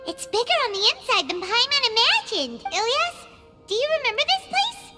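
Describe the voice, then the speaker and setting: A woman voicing a small, excitable fairy companion with a squeaky, childlike voice in a video game. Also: moderate pace. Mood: puzzled. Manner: exclamatory, questioning. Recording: loud music behind the voice.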